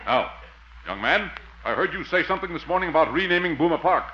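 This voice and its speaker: An actor playing a pompous, eccentric military major who barks clipped orders in 1950s radio comedy style. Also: male voice, a little high, animated, fast talker, background noise, close to an everyday voice. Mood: brisk, bossy. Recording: dull, echoey old radio recording.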